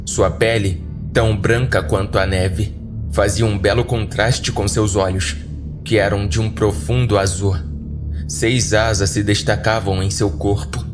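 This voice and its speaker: A man with a Brazilian accent narrating a scripted horror story dramatically for a podcast. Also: lowish voice. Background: background music.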